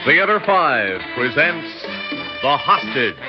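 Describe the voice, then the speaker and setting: A man's voice: mid-range voice, sing-song, slow. A man announcing a title in the style of a 1960s radio drama. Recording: muffled radio recording under loud music. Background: loud theme music.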